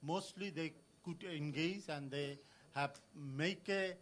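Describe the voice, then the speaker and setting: A man from Bangladesh speaks from the floor of a conference, stopping often to restart his sentences. His voice sits a little high. His words come unevenly, with many fillers. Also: slow pace, fairly bright tone, slight background noise. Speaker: audience member at a conference. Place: conference hall.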